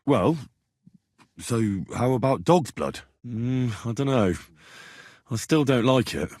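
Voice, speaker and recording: An actor playing a blunt, plain-spoken young medieval adventurer in a video game, talking in an earthy, sweary, conversational way. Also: male voice, mid-range voice, animated, slow pace, a little mellow, no room sound, studio quality. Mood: earnest, matter-of-fact. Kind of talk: video game dialogue acting.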